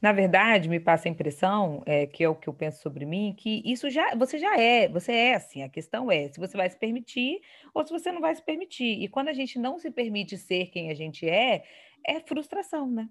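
Brazilian woman interviewer, just chatting casually, mid-pitched voice. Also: big pitch swings, fairly quick.

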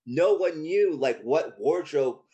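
Chilean-born man telling an animated anecdote. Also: on the high side, slow, lo-fi sound. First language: Spanish. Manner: animated, profane, heavy use of "like" and "you know". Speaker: actor guest.

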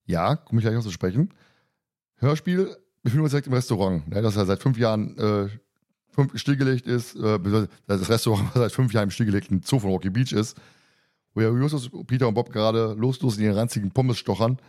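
A man's voice: on the low side, fairly quick, warm tone. A man hosting a casual podcast discussion, recapping and commenting in a colloquial, teasing, joking way. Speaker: podcast host.

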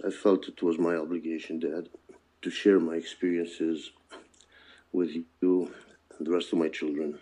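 An older man from Palestine who moved to the US as a child, speaking earnestly and reflectively in a personal oral history interview, with deliberate phrasing and emphatic repetition. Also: low voice, dark tone, rough recording. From born in Palestine, moved to the US as a child.

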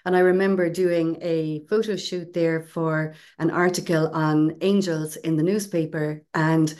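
A woman's voice: low-pitched, steady tone, measured pace, darkish tone. A woman co-hosting a podcast, explaining ideas in a conversational casual chat with asides. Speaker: podcast co-host.

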